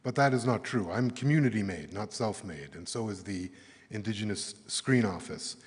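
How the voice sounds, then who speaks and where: A Canadian man giving earnest closing remarks at an arts summit, halting and thoughtful, with many fillers. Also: fairly low pitch. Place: large conference hall. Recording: echoey hall with long reverb.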